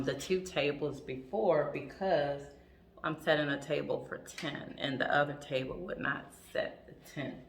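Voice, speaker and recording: A woman with a raspy voice chatting casually in a home vlog, full of ums and restarts, with her throat a little sore. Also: low voice, bright, forceful tone, recorded from a distance, a little hiss or hum. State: throat trouble, clears throat. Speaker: vlogger.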